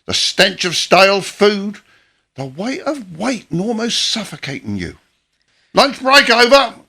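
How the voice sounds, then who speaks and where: A working-class old soldier in a radio play, with a swooping, animated delivery and a bright, forceful edge. He is a gruff but chirpy father who talks bluntly and likes to tease. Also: sits high, a little slow, full character voice. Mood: brisk. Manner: blunt, teasing, colloquial.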